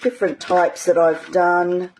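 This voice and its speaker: Woman, art journaling teacher, mellow low voice, croaky, chatty and rambling. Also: level tone, a little slow, a little hiss or hum. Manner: chatty, rambling with many ums. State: croaky, clearing her throat. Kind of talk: casual live craft demonstration.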